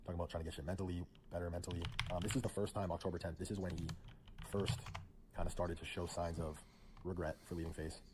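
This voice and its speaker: A man with a very low voice narrates in a rush, hopping between thoughts and padding his sentences with fillers. He walks through old messages from a friend. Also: level tone, background noise. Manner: fast, rambling, filler-heavy. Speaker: streamer recounting a friendship. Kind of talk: narrating over screenshots.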